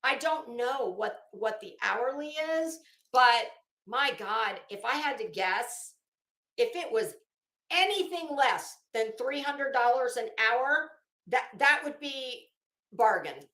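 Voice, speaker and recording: A high-voiced woman delivers a casual commentary rant at a very unhurried pace. She is blunt and profane, leaning hard on words and breaking off haltingly. The sound is roomy, with clear echo around her voice. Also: lively intonation, pushed and bright. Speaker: online commentary host.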